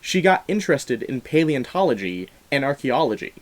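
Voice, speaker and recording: A man hosts a film discussion, speaking in a conversational reviewing style. His voice sits at an average male pitch, and he talks through characters and story as commentary. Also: big pitch swings, normal speed. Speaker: film review podcast host.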